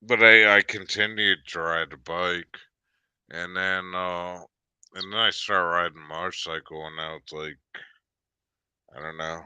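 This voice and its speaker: A man in his fifties chatting casually, rambling with many restarts and fillers, sometimes bursting out excitedly. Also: low-pitched, measured pace, clean tone, dry recording. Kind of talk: casual chat.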